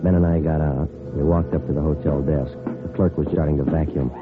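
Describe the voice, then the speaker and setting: A terse police detective speaks in a very deep, deadpan voice at a quick clip. It is a tinny vintage radio drama recording. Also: male voice, over music. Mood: deadpan narrating. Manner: clipped, matter-of-fact, deadpan. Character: terse, businesslike male police detective narrating his case. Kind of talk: 1950s police radio drama acting and narration.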